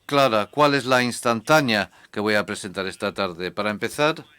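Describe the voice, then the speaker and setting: A man giving a measured, reflective conference talk to a hall audience. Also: on the low side, bright, forceful tone, clean tone. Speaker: conference speaker.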